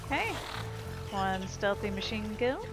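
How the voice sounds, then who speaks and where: A woman's voice: medium pitch, very animated, a little slow, poor audio. Female game streamer, chatty and casual, talks through her play over game music. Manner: thinks aloud, chatty. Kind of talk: casual gameplay commentary. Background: video game music and sounds.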